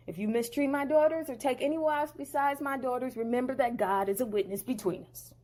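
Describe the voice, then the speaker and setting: A woman's voice: sits high, fairly quick, darkish tone. A woman voicing Laban, an angry, scheming older man who rants in slang and claims everything as his own. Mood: solemn. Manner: slangy, ranting, possessive.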